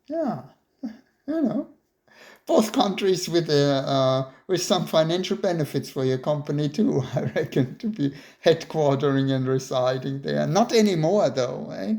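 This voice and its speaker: A chatty interviewer from Germany with a middle-pitched voice keeps the conversation casual. Short laughs punctuate the remarks, and the questions come in an easy, conversational flow. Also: animated, a little slow, darkish tone.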